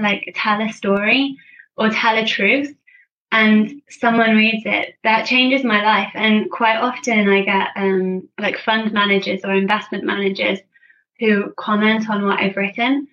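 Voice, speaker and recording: A woman's voice: medium pitch, a bit bright, lo-fi sound. A woman speaking as a podcast guest in casual conversation, effusive and candid, with informal phrasing peppered with "like". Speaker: podcast guest, finance blogger and writer. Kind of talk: casual interview chat.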